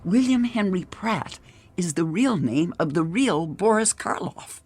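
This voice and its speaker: An actress playing an eager, helpful detective's assistant in a radio drama, with a husky voice. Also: female voice, lowish voice, expressive, unhurried, darkish tone. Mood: curious.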